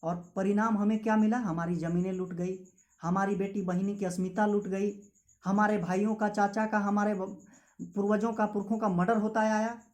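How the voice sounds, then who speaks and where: A man's voice: high-pitched, darkish tone. A young man with a Jharkhand Hindi accent giving an impassioned political speech as a student activist, with repetitive rhetorical phrasing. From Jharkhand, India. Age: young adult.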